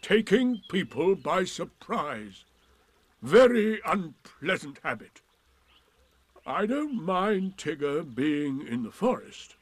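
Old donkey from a storybook, gruff, glum and sarcastic, dragging slow delivery, dry close sound. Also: male voice, a little high, sing-song, dry recording, pitched above the performer's own voice, heavily acted. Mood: glum, grumbling. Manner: slow, drawn-out, mournful complaining. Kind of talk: storybook character reading.

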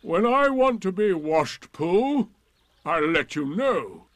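A man voicing a gloomy, sarcastic donkey in a storybook, with drawn-out, emphatic, indignant delivery. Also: sits high, sing-song, fairly bright tone, dry recording, pitched above the performer's own voice, full character voice. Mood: coldly sarcastic.

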